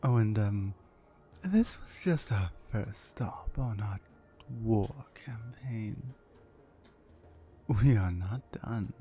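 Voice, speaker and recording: A woman voicing a dominant, mean orc warrior woman in an ASMR roleplay, slow, commanding and condescending, with a low breathy voice that often nears a whisper. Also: expressive, mellow, heavily acted. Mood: gloating, condescending.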